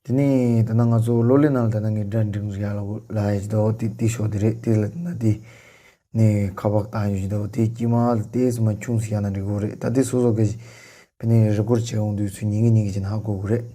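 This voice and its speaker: Male tutorial presenter, low and fairly monotone, unscripted, with halting phrasing. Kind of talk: unscripted software tutorial narration.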